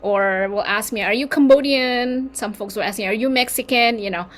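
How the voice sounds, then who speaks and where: A woman from Indonesia speaking as a community leader on a panel discussion, talking in quick, animated bursts. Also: a little high.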